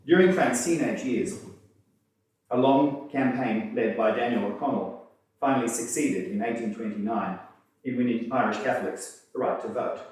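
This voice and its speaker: A man narrates history from a written script in a heavily reverberant room, set back from the microphone. His delivery is measured and literary, with dry understatement and a middling pitch. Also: a little mellow. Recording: distant, very echoey room.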